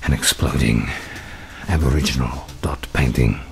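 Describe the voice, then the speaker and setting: Very deep, creaky, halting poetic wanderer. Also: male voice. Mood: bleak, weary. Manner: halting, fragmented, sometimes near whisper. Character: bleak, weary male wanderer speaking poetic visions. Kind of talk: poetic radio play monologue. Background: music.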